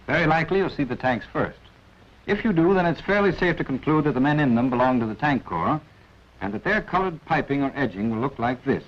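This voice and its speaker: A man narrating a 1940s military instructional film in a scripted, didactic way with wry asides, on a dull vintage soundtrack. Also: a little high.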